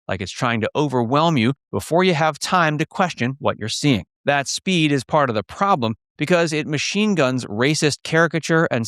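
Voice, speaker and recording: A man narrates a scripted documentary piece in a mid-pitched voice. The sound is close and very dry, like a studio voice-over. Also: fairly bright tone, clean tone, dry recording.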